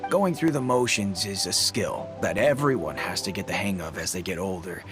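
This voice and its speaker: An actor playing an easygoing, tactful army captain in a video game dub, giving measured, knowing advice. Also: male voice, deep voice, lightly acted. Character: tactful, easygoing older soldier, male captain. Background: faint music.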